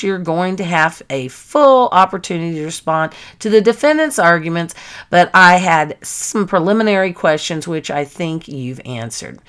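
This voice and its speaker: A woman's voice: on the low side, fairly bright tone. A woman giving a dramatic reading of a courtroom hearing transcript, sometimes stumbling and repeating phrases. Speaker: reader.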